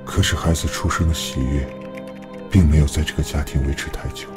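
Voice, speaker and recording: A man's voice: a bass voice, fairly even intonation, fairly quick, over loud music. A man playing a grave, solemn storyteller narrating an audio drama. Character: grave storyteller recounting a family's dark past. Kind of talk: slow-building scripted narration in an audio drama.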